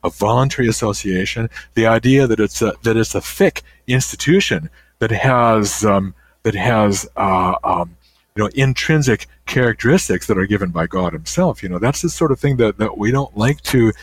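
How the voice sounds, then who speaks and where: An older man, a guest author, answering interview questions in a thoughtful, halting way with many restarts. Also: on the low side, dry recording.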